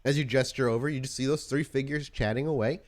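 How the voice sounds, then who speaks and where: A man's voice: mid-range voice, steady tone, quick pace. A man working as game master, improvising descriptive, informal narration for a tabletop roleplaying game.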